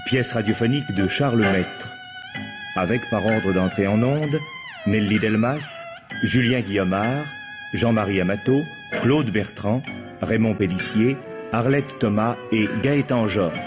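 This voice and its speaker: A man's voice: fairly low pitch, unhurried. A man formally announcing the title and credits of a radio drama from a script. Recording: dull vintage radio recording, music behind. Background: theme music.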